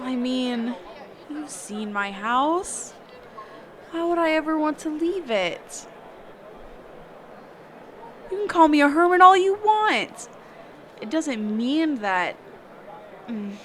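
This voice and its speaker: A woman voicing a reclusive, socially awkward mage teacher in a roleplay audio, speaking hesitantly and evasively with trailing pauses and hums. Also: fairly high pitch, noisy background. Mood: reluctant, then defensive, flustered. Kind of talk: character roleplay audio, one side of a dialogue.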